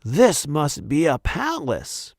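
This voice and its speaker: Guy doing a gentle little storybook teddy bear, slow n wide-eyed, kinda creaky. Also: fairly high pitch, wildly swooping pitch, no echo, studio quality, pitched above the performer's own voice, heavily acted. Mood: wondering. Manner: wide-eyed, earnest wonder. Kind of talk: children's storybook read-aloud.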